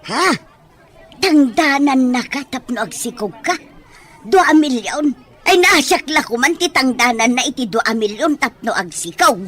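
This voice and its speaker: Naive middle-aged house helper, voiced by an actress, Filipino accent. Raised pitch, lively swings, exclamatory comic manner. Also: female voice, a little slow, full character voice. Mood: surprised, excited. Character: naive, excitable household helper, an auntie figure. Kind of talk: Ilocano audio drama acting.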